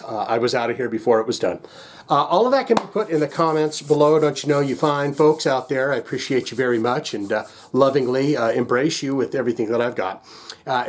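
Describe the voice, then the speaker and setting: A man's voice: medium pitch, darkish tone. A man hosting a music reaction video, chatting casually to viewers in a rambling, jokey way and often interrupting himself.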